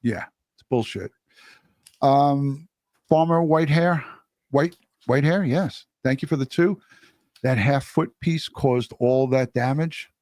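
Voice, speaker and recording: A man's voice: medium pitch, slow pace, pauses often, a little mellow, no echo. A man co-hosting a livestream, reading out viewer messages and super chats in a casual, blunt way and swearing freely. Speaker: livestream co-host.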